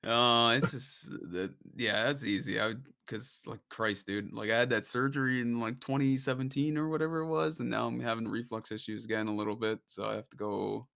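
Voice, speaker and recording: Gravelly, bright-voiced man chatting. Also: mid-range voice, steady tone, a little fast, hardly any pauses. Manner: laughs often, explains at length. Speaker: podcast guest, singer. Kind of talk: casual chat.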